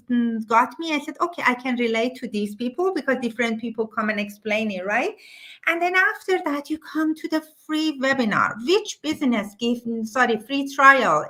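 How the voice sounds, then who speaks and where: Middle aged woman, high bright voice, eager and excited, keeps restarting, live interview chat. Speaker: business promoter.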